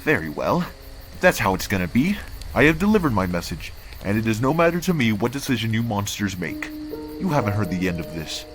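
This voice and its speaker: A man's voice: fairly low pitch, very animated, brisk, soft background music, poor audio. A man playing a cold, disdainful council envoy, speaking formally and condescendingly in a comic dub. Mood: threatening. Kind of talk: amateur comic dub acting.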